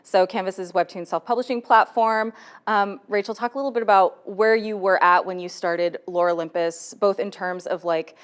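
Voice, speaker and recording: A woman hosting a conversational interview with a clear, smooth voice. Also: mid-range voice, steady tone, normal speed. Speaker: host, community manager interviewing creators.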